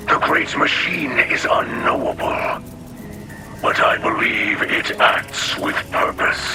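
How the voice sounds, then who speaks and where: A man performs a solemn alien splicer from a video game. The voice is a breathy rasp at middle pitch, slow and halting, with formal, clipped phrases. Music plays clearly behind it. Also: natural voice. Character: solemn alien insectoid splicer leader, male. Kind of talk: video game cutscene acting.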